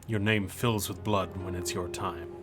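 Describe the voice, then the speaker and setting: A man's voice: low-pitched, steady tone, a little slow, music in the background. A man playing an aloof, detached Grim Reaper in an audio drama, speaking in terse, matter-of-fact lines. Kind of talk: scripted audio drama acting.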